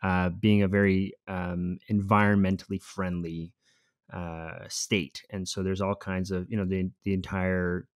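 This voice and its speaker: Male podcast co-host in his thirties, loose and easygoing delivery, low-pitched voice, dry close studio mic. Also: measured pace, dry recording. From Costa Rica. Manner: relaxed, loose, with frequent fillers and "you know". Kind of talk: casual conversational podcast. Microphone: studio microphone with headphones.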